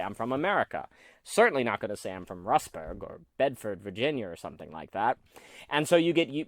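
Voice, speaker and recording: A man's voice: lowish voice, no room sound. A man hosting his own talk, thinking aloud about ideas in a loose, rambling way with frequent "uh"s and restarts. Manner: thoughtful, rambling with many fillers and restarts. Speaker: podcast host discussing philosophy. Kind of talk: unscripted solo commentary.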